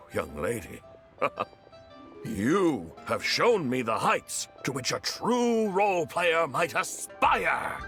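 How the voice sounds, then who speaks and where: A theatrical, effusive gentleman from a video game. He speaks grandly and slowly, with extremely expressive pitch and dramatic pauses. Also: full character voice. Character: theatrical, effusive gentleman enthusiast of role-playing.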